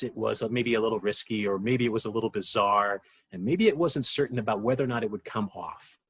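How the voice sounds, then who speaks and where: A man talks reflectively in a documentary interview. His voice sits fairly low and has a husky grain. He is earnest and deliberate, often repeating a phrase for emphasis. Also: a bit bright.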